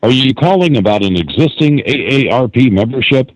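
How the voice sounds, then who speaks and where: A man delivers scripted phone menu and hold messages with animated intonation. His mid-pitched voice is dry and comes over a telephone line. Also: brisk, no echo. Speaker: automated phone system announcer.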